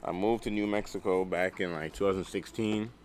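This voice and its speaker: A man's voice: low-pitched, steady tone, brisk, slight background noise. A young man from Queens, vlogging in a casual, rambling way that is full of "you know" and "like". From Queens, New York. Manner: laid-back, rambling, lots of "you know" and "like". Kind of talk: casual talk to camera.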